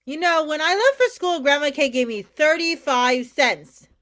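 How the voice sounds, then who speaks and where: A woman's voice: high voice, expressive, normal speed, a bit bright. An actress playing an anxious, guilt-ridden mother of a college-age daughter in a staged play reading, pleading and insistent. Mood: nostalgic.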